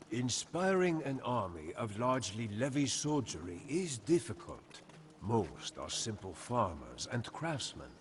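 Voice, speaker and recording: An actor playing an honored, solemn general of the Khmer Empire, narrating in a grave, formal, measured way for a strategy game. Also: male voice, fairly low pitch, heavily acted. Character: honored old-world Khmer general, solemn, weathered commander. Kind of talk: dramatic scripted game narration.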